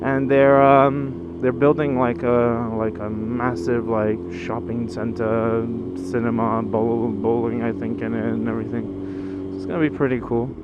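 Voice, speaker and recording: A man's voice: medium pitch, flat delivery, unhurried. A man from Brazil in his twenties, chatting casually and rambling with fillers while he rides a motorcycle through city streets. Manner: rambling, loose, full of fillers and restarts. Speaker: motorcycle vlogger. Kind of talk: casual chat while riding. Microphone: action camera mounted on the motorcycle. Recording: muffled action-cam audio with loud road and engine noise.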